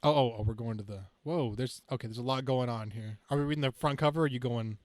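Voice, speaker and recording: A man's voice: medium pitch, fast. A man hosting a podcast in casual, chatty conversation. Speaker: podcast host. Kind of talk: casual chat.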